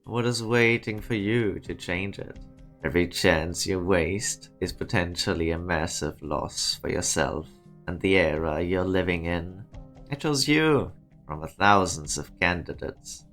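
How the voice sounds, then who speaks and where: A man in an amateur fan dub playing a stern, demanding research director with a cold, imperious, measured manner. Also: low voice, a bit bright, soft background music. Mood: stern disappointment.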